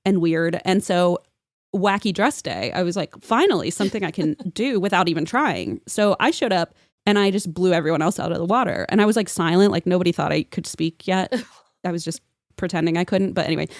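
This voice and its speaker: A woman's voice: lowish voice, fairly quick, no room sound, studio quality. A woman in her thirties telling comic stories among friends in a dramatic, self-mocking manner. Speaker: podcast guest and storyteller.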